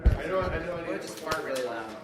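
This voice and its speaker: A man with a slightly high voice talks casually and informally. A crowd can clearly be heard around him. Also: quick pace. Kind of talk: casual chat. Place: live show at a comic shop. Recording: crowd chatter behind.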